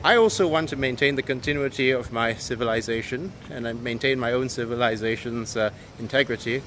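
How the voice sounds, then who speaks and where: A man from Penang, Malaysia, speaks earnestly to his viewers in a mid-range voice, often stopping on "uh" and "um" as he collects his thoughts. A steady hum of air conditioning and other noise sits clearly behind him. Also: mid-range voice, brisk. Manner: earnest, hesitant with frequent fillers. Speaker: video host. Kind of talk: opinion monologue to camera.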